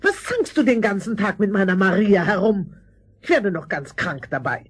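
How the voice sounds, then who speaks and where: An actress playing a stingy, scolding aunt in a fairy-tale audio play, querulous and husky. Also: female voice, medium pitch, very animated, normal speed. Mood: scolding, complaining. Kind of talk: German fairy-tale audio drama acting.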